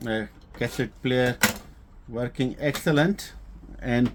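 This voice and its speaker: A man presents a piece of gear in a casual, unscripted way. His English is non-native and his delivery is halting, full of filled pauses. His pitch sits in a middle range for a man. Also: background noise. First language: not English. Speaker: presenter demonstrating a used boombox. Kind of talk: casual unscripted product demonstration.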